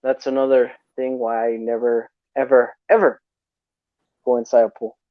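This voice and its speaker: A man's voice: mid-range voice, little pitch movement, dry recording. A man hosting a livestream, chatting casually and chuckling often as he reads out viewer comments over a video call. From desert region. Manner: laid-back, chuckles often, drawn-out filler. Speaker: livestream host. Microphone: remote video call mic. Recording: dull, dry call audio.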